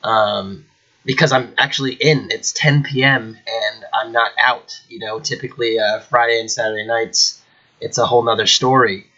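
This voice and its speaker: A man's voice: medium pitch, fairly even intonation, moderate pace, bright, forceful tone, lo-fi sound. Congested man chatting about chess. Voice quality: slightly nasal. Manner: rambling with many fillers. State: congested from a cold. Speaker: chess channel host. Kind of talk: casual chess commentary. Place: at home indoors.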